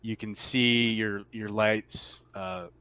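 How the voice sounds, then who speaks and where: A man speaking casually and haltingly as game master of an online tabletop roleplaying session. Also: fairly low pitch, steady tone, bright, forceful tone, clean tone, rough recording.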